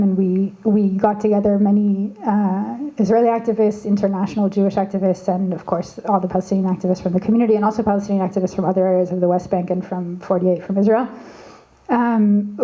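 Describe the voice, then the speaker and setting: A woman from Canada, probably in her thirties, answering as a panelist, thoughtful with many pauses and fillers. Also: medium pitch, warm tone, dull audio.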